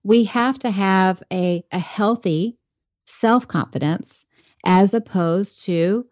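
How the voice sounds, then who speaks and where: A woman's voice: medium pitch, slow pace, darkish tone, dry recording, studio quality. A woman guest on a podcast interview, talking earnestly and reflectively about faith and leadership. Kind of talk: conversational interview.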